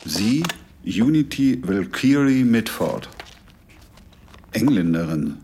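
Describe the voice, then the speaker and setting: A brusque surveillance officer in a radio drama. His voice is fairly low and his phrases are terse, like points in an interrogation. Noise is clearly heard behind him. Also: lively intonation, slow, warm tone. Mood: appraising. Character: brusque male surveillance officer in 1930s Germany. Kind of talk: radio play dialogue.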